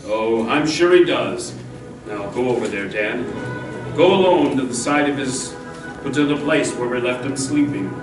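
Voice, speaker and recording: A man's voice: medium pitch, measured pace, close to an everyday voice. A man playing a noble, fatherly cowboy hero with earnest, theatrical solemnity in an old-time radio drama. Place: live stage radio show in a hall. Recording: echoey hall from far off, loud music behind.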